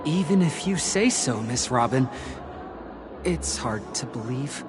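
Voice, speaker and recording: A man's voice: medium pitch, lively intonation, unhurried, close to an everyday voice. An actor voicing a puzzled, doubtful male hotel guest in a video game. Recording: game audio with music.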